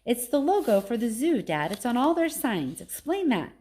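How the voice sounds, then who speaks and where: Woman voicing an insistent, high-pitched young girl. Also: very animated, normal speed, mellow. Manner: emphatic, earnest, persuasive. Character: Julia, excited, insistent young girl pleading with her dad.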